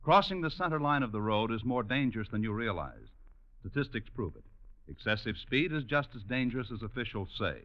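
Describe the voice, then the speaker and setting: A man announcing in the formal, emphatic style of vintage scripted radio. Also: fairly low pitch. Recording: dull old radio recording.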